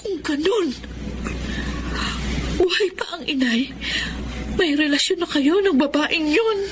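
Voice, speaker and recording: High-voiced young woman, anguished grieving daughter. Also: animated, takes it slowly, noisy background. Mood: confronting. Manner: emotional, dramatic delivery. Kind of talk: Filipino radio drama acting.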